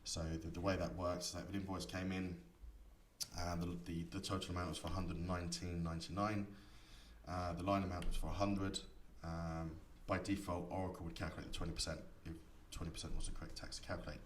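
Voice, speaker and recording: A man giving a software tutorial, explaining each setting step by step in an instructor's manner. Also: low voice, little pitch movement, fast talker. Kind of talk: screen-recorded tutorial explanation. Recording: echoey room, distant mic, faint noise.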